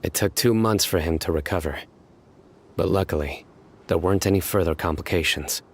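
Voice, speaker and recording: An actor playing a stern, guarded male investigator in a video game, speaking in a serious, measured, matter-of-fact way. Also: sits low, breathy edge. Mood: grave, measured. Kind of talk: scripted video game dialogue.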